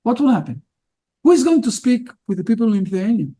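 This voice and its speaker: Gravelly high-pitched man, halting English. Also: sing-song, dark tone. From Israel. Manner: halting non-native English with frequent fillers. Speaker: rabbi. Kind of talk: interview guest.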